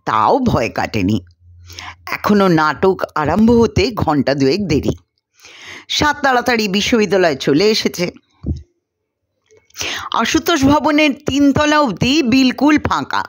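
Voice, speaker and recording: Slow, expressive woman storyteller from India. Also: mid-range voice. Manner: expressive, deliberate storytelling with acted-out dialogue. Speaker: story reader. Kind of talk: scripted narration of a short story, dramatized dialogue.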